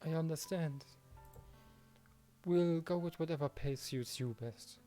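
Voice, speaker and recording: Man playing earnest teenage boy, mellow voice. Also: fairly high pitch, a little slow, faint music behind, voice pushed up, natural voice. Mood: gentle, concerned. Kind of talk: visual novel read-aloud.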